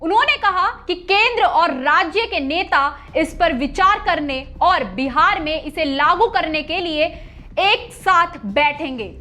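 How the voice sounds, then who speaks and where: A woman's voice: very high voice, animated, measured pace, pushed and bright. A woman news anchor reading a scripted news report.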